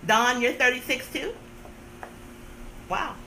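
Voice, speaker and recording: A woman's voice: high-pitched, slow, sharp tone, slight background noise, rough recording. A woman in her thirties chatting on a live stream, playful and sarcastic, teasing her viewers with theatrical complaints. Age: 30s. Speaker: livestream host.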